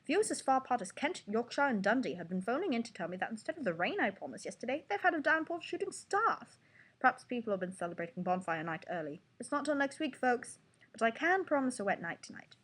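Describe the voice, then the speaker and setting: A woman's voice: on the high side, big pitch swings, quick pace, a bit bright, breathy edge, voice pushed up, heavily acted. A woman voicing a chatty, folksy male TV weatherman in a storybook. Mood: cheerful.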